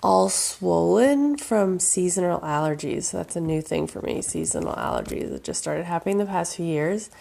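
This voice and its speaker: A woman who teaches intuition talks casually to camera in an advice video. Her voice is hoarse and froggy from allergies, and she speaks loosely with fillers and restarts. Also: lowish voice, animated, moderate pace, few pauses, darkish tone. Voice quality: raspy.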